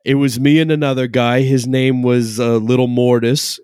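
A man plays a brash bartender desperate for reality-TV fame, who talks in long, rambling, boastful stretches while pretending to be modest. His voice sits at an ordinary middle pitch and sounds close and dry, with no room around it. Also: level tone, normal speed, a little mellow, clean tone, dry recording, natural voice. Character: brash, self-important male bartender chasing reality-TV fame. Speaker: guest. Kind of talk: improvised comedy character interview.

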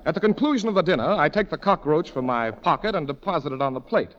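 A man's voice: a little high, lively intonation, fast, close to an everyday voice. A man acting in a 1940s radio comedy as a genial, shameless card swindler, florid and mock-courtly in speech. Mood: glib, placating. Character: genial, silver-tongued, shameless old-time male card swindler. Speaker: radio actor. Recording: dull lo-fi vintage radio recording.

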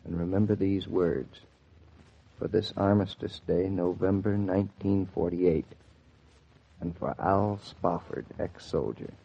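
An actor playing a gentle, reticent, solemn young husband in a 1940s radio drama. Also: male voice, sits low, steady tone, measured pace, vocal fry, husky, heavily acted. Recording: dull vintage 1940s radio recording.

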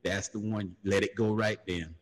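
A man in a casual panel talk, laid-back and storytelling, who jumps into loud high mocking voices when he quotes people. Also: fairly even intonation, moderate pace. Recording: long hall reverb.

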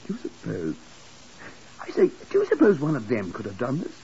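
Flustered, swooping English hotel keeper. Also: male voice, medium pitch, wildly swooping pitch, fairly quick, warm tone. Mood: fussy, chatty. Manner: stammering, fussy, hesitant. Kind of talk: 1950s radio drama acting. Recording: muffled, hissy 1950s radio recording.

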